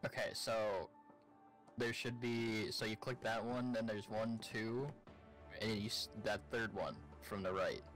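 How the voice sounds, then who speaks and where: A man's voice: on the low side, fairly even intonation, measured pace, fairly bright tone, soft background music. A man chatting casually during a co-op game, giving his partner step-by-step directions. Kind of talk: casual gameplay chat.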